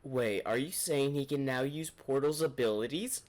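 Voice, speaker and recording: A man's voice: mid-range voice, normal speed, loud music behind the voice. A man hosting a reaction video, musing enthusiastically in casual commentary.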